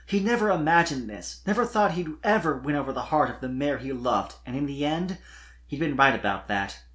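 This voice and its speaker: An expressive storyteller narrates scripted fiction with highly animated intonation. The voice sits in a middle range and swings up and down through each sentence. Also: brisk.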